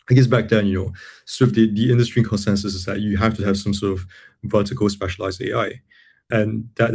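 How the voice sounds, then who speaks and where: A young man from Singapore talks through his reasoning in a relaxed podcast interview. His voice sits low with a gravelly fry, and he keeps circling back over his words with restarts before landing his point. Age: young adult. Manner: many restarts and repeated words, thoughtful and explaining. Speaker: guest, lawyer and software builder. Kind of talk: casual interview talk.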